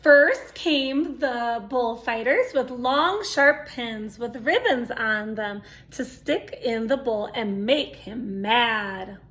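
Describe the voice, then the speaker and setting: A woman's voice: fairly high pitch, animated, takes it slowly, few pauses, a bit bright. A woman reading a picture book aloud to young children, expressively, with exclamations, asides and questions to her listeners. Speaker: storytime reader.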